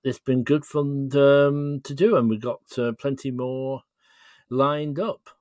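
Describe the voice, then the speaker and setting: A man's voice: medium pitch, flat delivery, slow, a little mellow, dry recording, studio quality. A man chatting as an interview guest, explaining things in a thoughtful, hesitant way. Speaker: podcast guest, writer. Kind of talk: casual interview chat.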